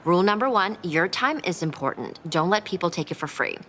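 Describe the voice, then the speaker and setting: A self-assured culture curator with a lowish voice and a brisk, guarded manner. It is naturalistic podcast drama dialogue, with clear ambient noise around it. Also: female voice, big pitch swings, a bit bright. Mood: brisk, cordial.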